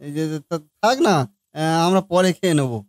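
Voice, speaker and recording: A man's voice: a little high, fairly quick, no echo, studio quality, lightly acted. A man voicing a timid, doubtful young husband in a family comedy cartoon, stammering and pleading. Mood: pleading, evasive. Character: timid, doubtful young husband dreading his wife's cooking.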